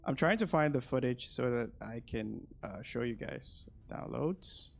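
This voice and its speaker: A man's voice: medium pitch, expressive, a little slow, gravelly. A man streaming as a game guide host, casually commentating and repeating his phrases as he thinks aloud. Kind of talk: casual gameplay commentary with asides to viewers.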